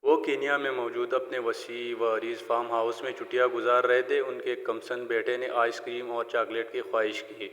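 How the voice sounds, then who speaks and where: A man's voice: mid-range voice, fairly bright tone. A man narrating a scripted moral story in a solemn, declamatory storyteller's manner. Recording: long hall-like reverb on the voice.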